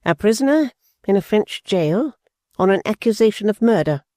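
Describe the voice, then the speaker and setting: Man doing a stern cold older mother, raised pitch, slow deliberate words, dry close sound. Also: expressive, darkish tone, dry recording.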